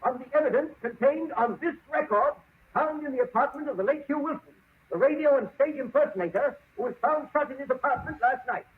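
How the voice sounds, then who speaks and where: An actor playing a formal defense attorney, declaiming to the court in 1940s radio style. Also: male voice, very high-pitched, fairly quick. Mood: formal, insistent. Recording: narrow, tinny old radio recording.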